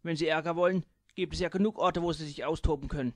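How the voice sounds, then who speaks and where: A man's voice: fairly high pitch, steady tone, fast, dry recording, studio quality, natural voice. A man voicing a blunt, matter-of-fact bronze alien in an audiobook.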